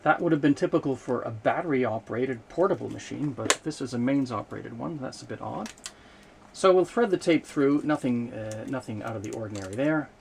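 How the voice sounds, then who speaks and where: A man giving an unscripted hands-on demonstration of vintage equipment, explaining in a measured way with hesitant pauses mid-sentence. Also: mid-range voice, creaky, darkish tone. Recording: noticeable background noise, room ambience.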